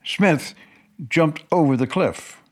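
A man playing a concerned, formal gentleman asking earnest questions in a radio drama. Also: on the high side, takes it slowly. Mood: grave, insistent. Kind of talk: dramatic radio play acting.

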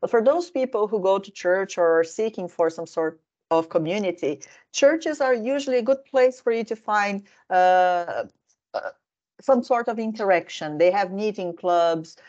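A woman's voice: mid-range voice, normal speed, a little mellow, muffled recording. A woman hosting a casual discussion, chatty and digressive, with many fillers, from Brazil. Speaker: host.

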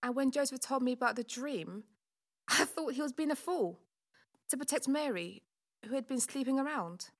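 A woman's voice: high voice, animated, normal speed. A woman playing a sceptical, devout middle-aged mother in an audio drama, speaking in an emphatic, exclamatory way. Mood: candid, animated.

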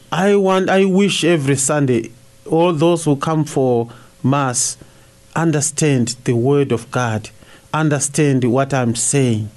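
A middle-aged priest from Zimbabwe whose first language is Shona speaks in a fairly high voice for a man. He answers in a thoughtful, halting way, full of uhs and restarts. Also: a little mellow. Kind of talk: radio interview answers.

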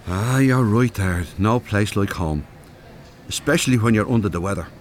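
A man's voice: lowish voice, moderate pace, slight background noise, close to an everyday voice. An actor playing an older Irish man, cheeky and wry, bantering in a naturalistic radio drama. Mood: pleased, playful.

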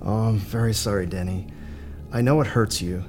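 An actor playing a composed male psychiatrist in an audio drama, speaking in a measured, reassuring and gentle way. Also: lowish voice, steady tone, faint music behind, lightly acted.

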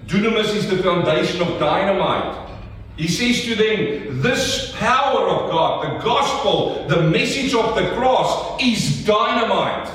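A South African man preaches with a fairly high voice, pushing his points hard and calling for answers from the pews. He sounds set back from the mic, and a long reverb from the church hall trails every phrase. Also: animated, a little slow, a bit bright, recorded from a distance. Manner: emphatic call-and-response preaching. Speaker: pastor. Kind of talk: sermon.

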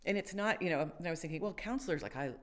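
A woman's voice: fairly low pitch, animated, very fast. A woman co-hosting a faith podcast, talking casually and thoughtfully, with many fillers and restarts. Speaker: podcast co-host.

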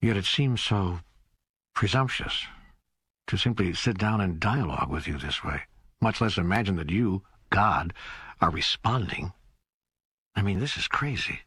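An earnest seeker full of doubt in a dramatized audiobook. His voice is very low and husky, recorded dry. He speaks in a searching, hesitant manner. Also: lively intonation, a little slow, long pauses, a bit bright, no echo, exaggerated voice. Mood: doubtful. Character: earnest, doubting male seeker questioning God.

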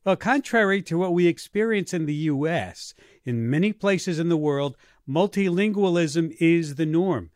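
A man hosting a radio science show, giving measured, scripted introductions and interviewing a guest on stage. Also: fairly high pitch, animated, dry recording, studio quality. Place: theater stage before a live audience.

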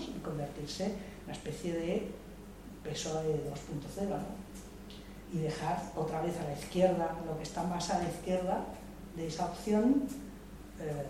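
An older woman from Madrid speaking at a public debate, reflective and hesitant, with many restarts and repeated words. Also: deep voice. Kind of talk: spontaneous political talk at a public debate. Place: large hall, public debate session. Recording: echoey room, voice from far off, faint noise.

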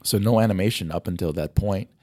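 Man, middle-aged, low-pitched, mellow, dry close sound, losing his voice, rambling with fillers. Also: fast, dry recording. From Hawaii. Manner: rambling, many fillers and restarts. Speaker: motion design educator. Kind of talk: conversational interview answers.

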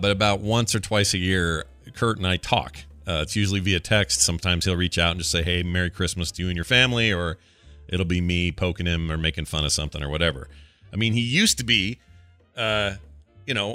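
Casual male morning-show host, lower-pitched and bright in tone. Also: expressive, a little fast, dry recording, soft background music.

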